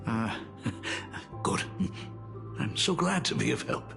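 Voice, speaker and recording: An actor playing a gentle, sorrowful middle-aged artist father in a video game, speaking gravely and tenderly with halting phrases. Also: medium pitch, animated, over music, full character voice. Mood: warm, awkward. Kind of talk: video game character acting.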